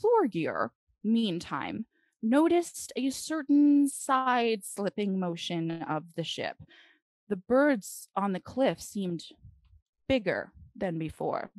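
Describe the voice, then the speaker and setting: A woman reading a children's storybook aloud dramatically, with deliberate phrasing and expressive pauses. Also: mid-range voice, no echo.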